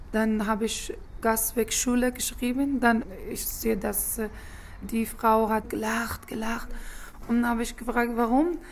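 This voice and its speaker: A woman from Afghanistan talks in careful, halting German, often stopping to search for words. Her voice stays level, with little rise and fall. There is a faint hum of ambience behind her. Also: fairly high pitch. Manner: halting non-native German with frequent hesitations. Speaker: journalist interviewee. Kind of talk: documentary interview.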